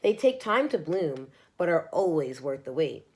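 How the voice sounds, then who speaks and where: Mother elephant, acted by a woman, gentle, loving, reassuring, melodic range, children's storybook. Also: low-pitched, big pitch swings, moderate pace, slightly rough, exaggerated voice. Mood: tender, reassuring. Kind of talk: children's storybook read-aloud.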